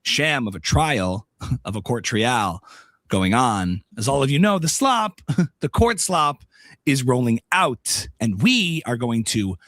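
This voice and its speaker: A man's voice: medium pitch, very animated, takes it slowly, fairly bright tone, no room sound. A man playing a smug, suspicious female conspiracy podcaster, repeating phrases insistently in a mock-dramatic commentary. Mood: knowing, suspicious. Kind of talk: satirical impersonation of a commentary podcast.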